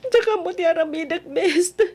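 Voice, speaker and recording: Casting: heartbroken young woman, very high voice, plaintive and weepy, Ilocano accent, radio-drama delivery. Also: moderate pace, darkish tone. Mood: hurt, tearful. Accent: Ilocano Filipino. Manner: tearful, plaintive. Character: heartbroken young woman, betrayed by her boyfriend. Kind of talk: old-time Ilocano radio drama acting.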